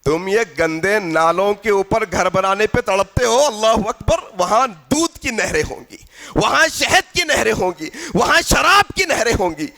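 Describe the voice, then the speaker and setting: Guy preaching a sermon, really high bright voice, fired up, repeats phrases, half chanting then dropping quiet. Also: lively intonation, creaky. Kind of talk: impassioned Urdu religious sermon.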